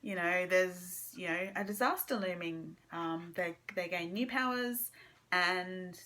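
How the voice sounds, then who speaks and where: A young woman giving a casual, halting book review to camera, informally trailing off and circling back. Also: fairly low pitch, sharp tone. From Australia.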